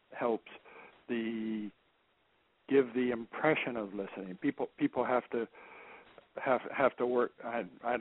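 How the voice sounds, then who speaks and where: A soft-spoken man speaking as a guest, halting, with many repeated words and restarts. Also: mid-range voice, slightly rough. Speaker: guest expert. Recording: phone-line audio.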